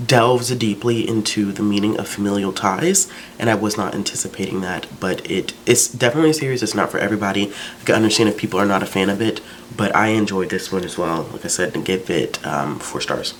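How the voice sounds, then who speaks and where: Deep-voiced man chatting casually. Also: a little hiss or hum, poor audio. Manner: chatty, relaxed, with fillers like "um" and "like". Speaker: book vlogger. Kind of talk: casual book review vlog.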